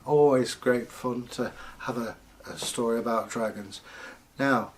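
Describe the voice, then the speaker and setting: A man in the persona of a Viking storyteller, telling folktales in short phrases with theatrical pauses. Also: mid-range voice, fairly even intonation, rough recording. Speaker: channel host and storyteller.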